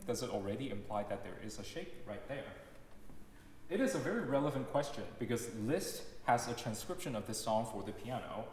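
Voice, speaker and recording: A young man whose first language is Cantonese, giving a lecture in a measured, explanatory way, demonstrating examples aloud. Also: mid-range voice. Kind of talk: lecture recital talk. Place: large recital hall. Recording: echoey hall with long reverb, faint noise.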